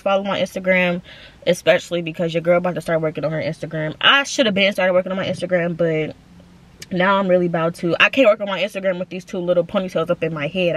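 A woman's voice: on the low side, fairly bright tone. A young woman talks straight to her phone camera from the driver's seat of a parked car. Her speech is loose and slangy and keeps circling back to her audience. It sounds close and unpolished, like a casual car vlog. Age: 20s. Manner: chatty, slangy, addresses viewers as y'all. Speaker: vlogger.

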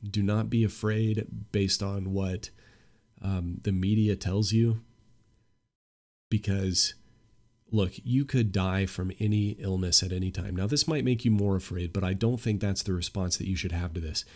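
A man's voice: deep voice, fairly even intonation, unhurried, a little mellow. A young man hosting a solo podcast with a stuffy nose, talking earnestly and growing emphatic. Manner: earnest, opinionated, grows emphatic. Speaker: podcast host.

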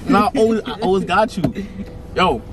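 A man's voice: sits high, a little fast, a loud crowd. A twenty-one-year-old man with a New York accent bantering boastfully and repetitively from a car's back seat. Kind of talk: casual slangy banter. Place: back seat of a car at night. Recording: car interior with faint noise.

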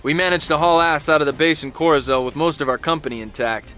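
An actor playing a cynical young US Army private with an American accent, narrating in a wry, profane, slangy way. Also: male voice, on the high side, fast, pushed and bright, clean tone. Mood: tense, urgent. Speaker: soldier narrator. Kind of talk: dramatic first-person game narration. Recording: music behind the voice.

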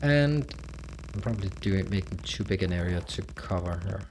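A man's voice: low-pitched, lively intonation, normal speed, a little mellow, a little hiss or hum, poor audio. A man from Denmark with a Danish accent, chatting casually on a game livestream and answering chat as he plays. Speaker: streamer.

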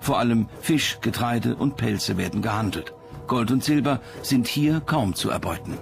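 A man's voice: medium pitch, expressive, normal speed, vocal fry, music in the background. A man reading scripted documentary narration.

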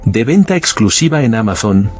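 A man's voice: lowish voice, big pitch swings, moderate pace. A man reading a short, persuasive book advertisement as a voice-over. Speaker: ad announcer. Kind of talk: promotional voice-over. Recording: voice-over with music behind.

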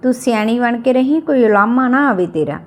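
A woman's voice: a little high, animated, fairly quick, lightly acted. A woman voicing a worried village mother who coaxes and advises, in an audio story. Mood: persuading, worried.

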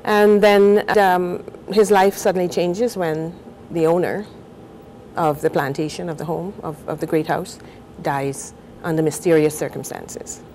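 An older woman from Guyana, a novelist, talking and reading aloud in a measured, thoughtful way on a TV studio set. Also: lowish voice, fairly even intonation, darkish tone, slight background noise, rough recording. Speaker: novelist guest.

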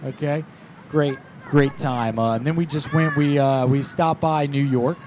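Man in his thirties, fairly high voice, rambling folksy chat, prank call, faint background noise. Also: steady tone, unhurried. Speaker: prank call show host. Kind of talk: improvised prank call in character as chatty tourist. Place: small decorated indoor studio. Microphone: close studio mic on a boom arm.

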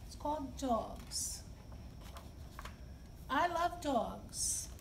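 A middle-aged woman hosting story time, speaking to young children in simple, expressive phrases. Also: high voice, drawn-out words. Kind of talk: read-aloud and talk for young children. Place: indoor library children's room. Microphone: camera a few feet away. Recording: distant camera mic, clear background noise.

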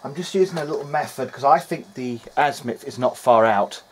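A man's voice: mid-range voice, fairly quick, slight background noise. A middle-aged man talking through a repair in a hesitant, meandering, matter-of-fact way, as in a video diary. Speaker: electronics repair hobbyist. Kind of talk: video diary, thinking aloud while working. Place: indoor workbench.

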